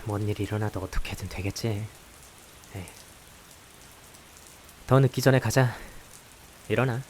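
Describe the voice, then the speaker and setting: A man playing an exasperated male high school student in a visual novel, blunt, dry and sarcastic, with a sighing delivery. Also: a little slow, natural voice. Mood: reluctant, sarcastic. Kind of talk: visual novel voice acting with some first-person narration. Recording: hiss with steady rain behind.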